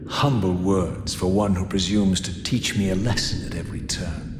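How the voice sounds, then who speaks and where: An actor playing a proud, defiant, bitter wanderer in a video game, speaking in a formal, sardonic, theatrical way. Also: male voice, low voice, unhurried, background noise. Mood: wry, scornful. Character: Raziel, proud, defiant, bitter inhuman wanderer seeking truth. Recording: echoing hall, music behind.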